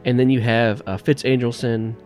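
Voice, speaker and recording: A man running a tabletop game as game master, describing scenes in a relaxed way with lots of fillers and chuckles. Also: mid-range voice, normal speed, faint music behind.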